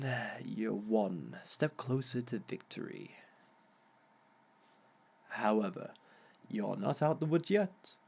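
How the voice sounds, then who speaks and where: A smug villain lecturing on love, as a man plays him, taking his time over each line and chuckling at his own advice. His teasing is mock-sympathetic, and he speaks at a middling pitch for a man. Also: creaky, darkish tone, full character voice. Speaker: villain relationship expert. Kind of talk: audio roleplay monologue.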